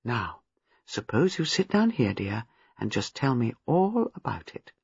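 A woman reading a storybook, playing a gentle, shrewd old lady with a soothing, measured manner. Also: sits low, very animated, breathy edge, no echo, muffled recording, heavily acted. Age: older.

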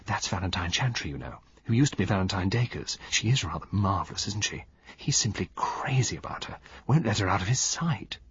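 A man voicing a knowing, gossipy young woman in a lowered, confiding voice for a storybook. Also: lively intonation, brisk, lo-fi sound, background noise, close to an everyday voice. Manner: hushed, confiding.

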